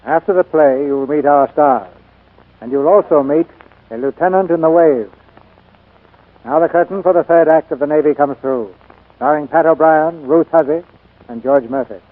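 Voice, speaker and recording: Radioansager mit etwas hoher Stimme. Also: male voice, a little high, normal speed. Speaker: radio announcer and host. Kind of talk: scripted 1940s radio introduction. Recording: tinny 1940s radio recording.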